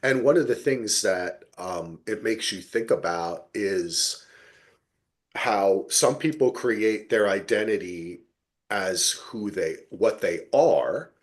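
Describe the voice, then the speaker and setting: Animated male podcast host, swooping intonation. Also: on the low side, slow pace. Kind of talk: casual TV recap discussion.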